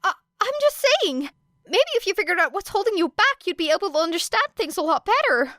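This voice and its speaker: A woman voicing a nervous, eager teenage boy who stammers and gets excited, in a storybook audio reading. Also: extremely high pitch, fairly quick, no room sound, clean studio sound, pitched above the performer's own voice, full character voice. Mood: hesitant. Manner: hesitant, stammering, then excitable.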